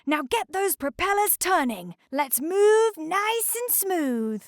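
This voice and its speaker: A keen male icebreaker ship who leads his crew in a children's storybook, voiced by a woman. The voice is high-pitched and confident, with a close, dry sound. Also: big pitch swings, slow pace, no echo, heavily acted. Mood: commanding.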